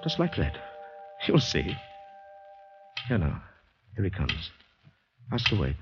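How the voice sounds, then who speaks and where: Haunted ex-soldier, low-pitched, hesitant and brooding; vintage radio thriller with muffled recording. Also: male voice, very animated, slow, exaggerated voice. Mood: mounting dread. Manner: brooding, hesitant, talking to himself. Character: anxious ex-soldier fighting down panic, recounting his ordeal. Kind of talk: 1940s radio drama narration and dialogue. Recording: dull old radio recording with music.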